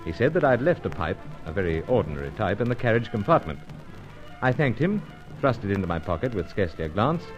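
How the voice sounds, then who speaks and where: Deep-voiced, dashing secret agent. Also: male voice, sing-song, quick pace, highs cut off, faint music behind. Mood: brisk narrating. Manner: clipped, jaunty, reassuring. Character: dashing, unflappable British secret agent, pipe lover. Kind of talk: old-time radio serial acting and narration.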